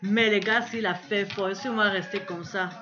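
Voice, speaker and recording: A woman's voice: mid-range voice, steady tone, moderate pace. A woman whose first language is Italian, talking playfully in a home video and mixing in slang and English words. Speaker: social media video maker. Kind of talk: playful selfie video monologue. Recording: dull lo-fi clip with music.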